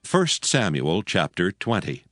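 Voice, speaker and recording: A man narrating a dramatized scripture reading in a solemn, measured storytelling manner. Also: sits low, very animated, fairly bright tone, faint music behind.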